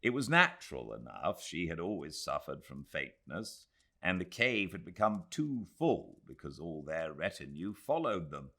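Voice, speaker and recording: British male narrator, low voice, lively delivery. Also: moderate pace. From Britain. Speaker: audiobook narrator. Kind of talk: expressive literary narration.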